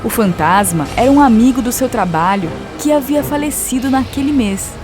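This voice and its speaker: A woman narrates a children's story in a moderately high voice. Her scripted telling runs over clearly audible music. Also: expressive, moderate pace.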